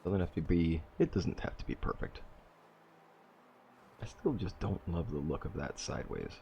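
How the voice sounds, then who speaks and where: A man's voice: low-pitched, expressive, moderate pace, vocal fry, warm tone, a little hiss or hum. A man with a stuffy nose from a cold, hosting a casual game playthrough and thinking aloud as he reworks his plans. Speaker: let's play host. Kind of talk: casual gameplay commentary.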